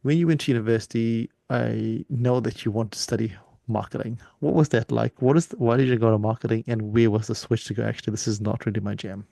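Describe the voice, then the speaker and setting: A man from Zimbabwe hosts a conversational podcast, talking quickly in a low, gravelly, mellow voice. He responds warmly to his guest and often repeats words as he works through his thoughts. The sound is dry and close. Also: fairly even intonation, no room sound. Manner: warm, affirming, repeats words as he thinks. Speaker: podcast host. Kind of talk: conversational interview.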